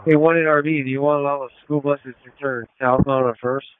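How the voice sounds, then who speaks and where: A man's voice: mid-range voice, fairly even intonation, fast, clear, resonant tone. A man working as a police officer, coordinating in a brief, practical way over police radio. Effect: police radio transmission. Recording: narrow-band police scanner radio.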